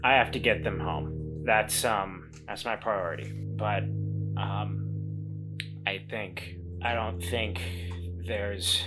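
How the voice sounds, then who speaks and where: A man playing a stubborn, scheming weasel who is protective of children, talking haltingly and earnestly in a tabletop game. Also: music in the background. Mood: determined, sincere. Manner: halting, earnest, low-key.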